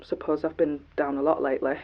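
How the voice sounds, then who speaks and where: A woman's voice: low voice, flat delivery, quick pace, highs cut off. An actress playing a blunt, chatty woman with a Midlands accent who answers a call in a phone box. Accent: English Midlands.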